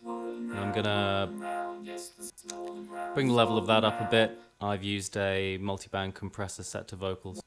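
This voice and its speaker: A man's voice: lowish voice, little pitch movement, unhurried, pauses often. A man presenting an informal music production tutorial, explaining step by step in a slightly hesitant way. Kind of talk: informal software tutorial demonstration. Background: drum and music playback.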